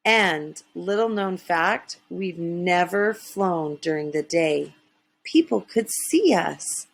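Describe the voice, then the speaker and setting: A woman's voice: on the low side, creaky, husky. A woman narrating a children's story expressively, with dramatic emphasis. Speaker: storybook reader.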